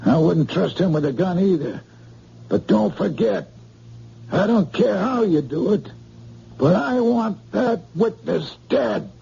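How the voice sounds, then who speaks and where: An actor playing a menacing gangster boss in a 1950s radio drama, with tough slangy gangster talk. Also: male voice, high voice, animated, slow pace, creaky, mellow, breathy edge, full character voice. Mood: threatening. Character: tough male mob boss, menacing and demanding. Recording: dull lo-fi old radio broadcast.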